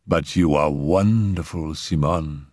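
A man playing a persuasive, earnest gentleman who coaxes and placates his lover in a dramatic story reading. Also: extremely low pitch, takes it slowly, dry recording, studio quality, heavily acted. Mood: admiring. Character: enthusiastic, coaxing Frenchman, persuasive lover.

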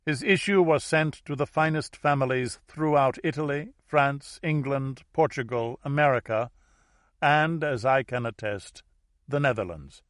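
A commanding, cultured Dutch heir acted out by a male audiobook reader, formal and self-assured. His middle-pitched voice moves deliberately through each phrase. The recording is very dry, with no room around it. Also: a bit bright, no echo, lightly acted. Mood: smooth, imperious.

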